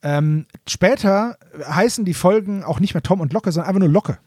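A man with a notably high-pitched voice co-hosts a podcast. He explains things in a casual, chatty way, recorded very dry with no room sound. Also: normal speed, a little mellow, breathy edge, no room sound. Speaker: podcast co-host.

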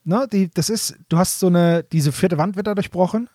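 A man co-hosting a podcast, chatting casually and explaining things in an explanatory way, rephrasing to make points clear. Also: high voice, moderate pace, mellow, no echo, clean studio sound. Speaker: podcast co-host. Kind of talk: casual nostalgic chat about audio dramas.

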